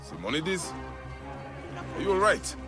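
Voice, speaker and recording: An actor playing a calm, dry, righteous Egyptian warrior in a video game. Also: male voice, fairly high pitch, a little slow. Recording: loud music behind voice.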